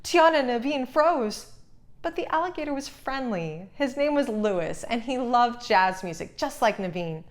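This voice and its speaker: A woman's voice: on the high side, animated, normal speed. Woman reading a children's storybook aloud. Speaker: storybook reader. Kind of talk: scripted children's story narration.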